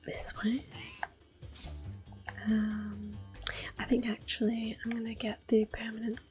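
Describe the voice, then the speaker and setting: A woman giving gentle, soft-spoken ASMR gameplay commentary in a breathy, unhurried whisper. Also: a little high, flat delivery, faint music behind. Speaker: gameplay host.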